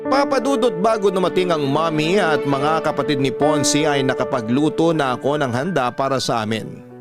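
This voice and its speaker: A man's voice: fairly high pitch, expressive, normal speed. Filipino man narrating over guitar music. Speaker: radio story host reading a sender's letter. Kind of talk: scripted dramatic storytelling narration.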